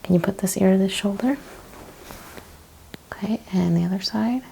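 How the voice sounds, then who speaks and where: A woman speaking in a gentle, hushed, partly whispered ASMR roleplay style, asking questions as a chiropractor. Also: mid-range voice, normal speed, gravelly, a little hiss or hum, poor audio. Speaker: ASMR host playing a chiropractor. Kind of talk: soft-spoken ASMR roleplay.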